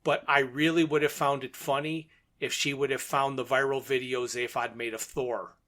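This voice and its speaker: A man's voice: mid-range voice, little pitch movement, normal speed, a bit bright. A man co-hosting a podcast, recapping a plot in a methodical, matter-of-fact way. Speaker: podcast co-host.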